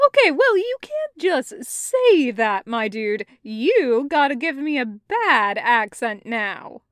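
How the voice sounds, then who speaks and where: Woman putting on a brash, high-pitched playful young guy. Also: very animated, slow, no echo, clean studio sound, heavily acted. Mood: insistent, playful. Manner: slangy, exuberant, emphatic. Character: Taco, brash young man, playful and teasing.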